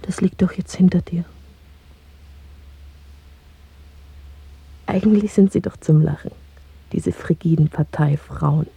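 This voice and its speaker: An actress playing a defiant, sensual young woman in an intimate radio drama, coaxing and irreverent in a hushed voice. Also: low-pitched, moderate pace, gravelly, breathy edge, no echo, full character voice. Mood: mocking.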